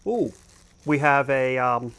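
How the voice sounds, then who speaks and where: A man's voice: mid-range voice, lively intonation, slow, fairly bright tone, slight background noise. A man hosting a boating gear review, talking casually and off the cuff as he thinks aloud. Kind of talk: casual unboxing and review talk, unscripted.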